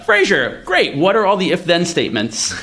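A man's voice: sits high, wildly swooping pitch, moderate pace, pushed and bright. A man hosting a casual tech discussion, explaining ideas by thinking aloud and posing rhetorical questions. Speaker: podcast host, venture investor.

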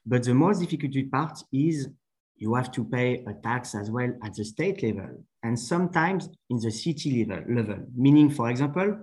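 A man's voice: mid-range voice, lively intonation, a little mellow, low-quality recording. French guy, accountant, answers with lots of uhs, plain mid voice. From France. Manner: halting, many fillers. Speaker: accountant, podcast guest. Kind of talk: interview answers.

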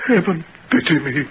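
An actor playing a guilt-stricken, horrified gentleman doctor in 1940s radio melodrama, pleading and halting on an old recording. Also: on the high side, highs cut off, heavy background noise.

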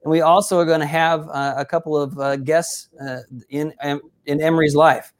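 A man's voice: on the high side, level tone, moderate pace. A man hosting a casual sports interview, upbeat and effusive in his praise of his guest. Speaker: interview host.

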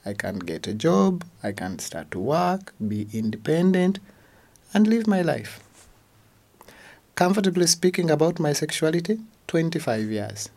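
Man, gravelly, husky, emphatic interview talk. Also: a little high, measured pace. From Uganda. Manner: animated, emphatic, repeats phrases. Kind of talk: personal testimony.

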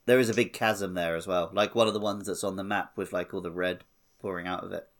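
A man's voice: low-pitched, fairly even intonation, quick pace. A man co-hosting a podcast, talking in an easygoing, conversational way about a game walkthrough. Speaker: podcast co-host.

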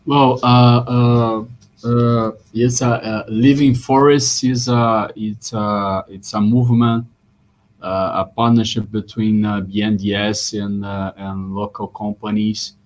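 Halting low-voiced man, flat delivery. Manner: halting, many fillers. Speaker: bank representative. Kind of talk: online panel discussion.

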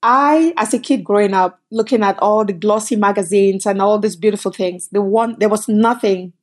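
A middle-aged woman from Cameroon, a company founder telling her story as a guest in an interview, lively and quick in bursts. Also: medium pitch. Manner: animated, storytelling, quick bursts, laughs often. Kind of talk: conversational interview.

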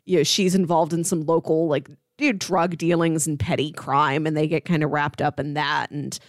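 A woman's voice: deep voice, level tone, moderate pace, dry recording, clean studio sound. A woman co-hosting a podcast, talking through films in a casual, conversational style. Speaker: podcast co-host. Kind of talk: casual film discussion podcast.

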